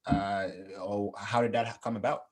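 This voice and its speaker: A man with a fairly low voice hosts his own podcast. He sounds upbeat and eager, speaking in a friendly way and often pausing on little fillers as he sets up his questions for a guest. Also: flat delivery. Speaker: podcast host. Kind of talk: casual interview hosting.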